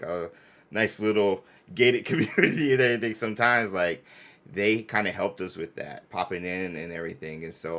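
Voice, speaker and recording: Low-pitched man chatting in effusive slang. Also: fairly bright tone. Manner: slangy, effusive, says "bro" often. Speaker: host of a gaming fan channel. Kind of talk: casual live-stream chat.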